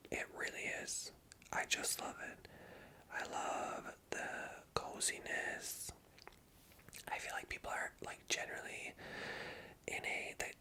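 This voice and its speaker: Hushed whispering ASMR chatter. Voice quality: soft, unvoiced whisper. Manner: rambling, chatty, addresses viewers directly. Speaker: ASMR video creator and storyteller. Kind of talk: ASMR whispered casual chat.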